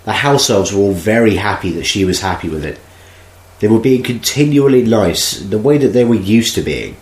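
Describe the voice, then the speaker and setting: A man narrating an amateur audio drama in a plain, even storytelling manner. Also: fairly low pitch, moderate pace, roomy sound. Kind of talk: scripted narration.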